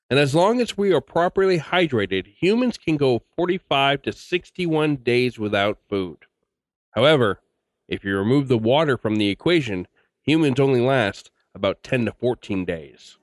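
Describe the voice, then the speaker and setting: A man's voice: mid-range voice, very animated, normal speed, no room sound. A man hosting a podcast, reading scripted commentary and sign-off. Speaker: podcast host.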